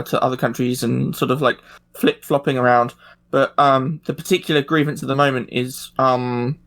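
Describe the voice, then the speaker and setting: A man in a casual panel discussion, speaking informally and haltingly with pauses mid-phrase. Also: mid-range voice, vocal fry, a bit bright.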